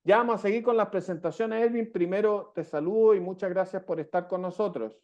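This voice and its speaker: A man with a Chilean accent hosting a radio interview, chatty and quick to jump in with his own stories. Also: high-pitched, darkish tone, muffled recording. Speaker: radio host.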